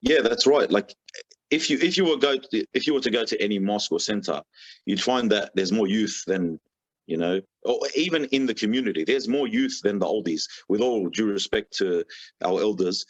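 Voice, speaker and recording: A man's voice: fairly low pitch, lively intonation, normal speed, no echo. A man speaking as a podcast guest in a casual, rambling way, filling his talk with "you know" and "sort of" and restarting his sentences often. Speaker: podcast guest, religious reciter. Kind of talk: casual conversational interview. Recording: dull, lo-fi, dry podcast audio.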